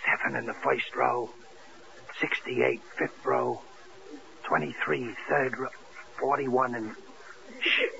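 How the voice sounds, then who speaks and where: Gravelly, furtive bingo caller. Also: male voice, mid-range voice, steady tone, takes it slowly, pauses often. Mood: hushed, furtive. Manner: hushed, secretive. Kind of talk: 1940s radio comedy acting. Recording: muffled, hissy old radio recording.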